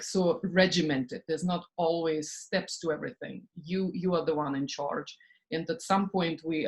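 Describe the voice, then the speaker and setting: Guest: woman from Estonia, slightly low voice, animated and conversational. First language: Russian. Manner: animated, acts out her own inner voice in quoted asides, frequent "like" and soft laughs. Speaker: podcast guest, spiritual business coach. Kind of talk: casual interview talk.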